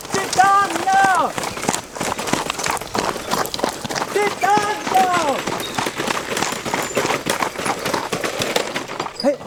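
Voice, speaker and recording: Child adventurer, played by an actor, Swiss German radio drama: high, very animated, easily alarmed, breathless. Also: very noisy. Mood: urgent, searching. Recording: music behind the voice.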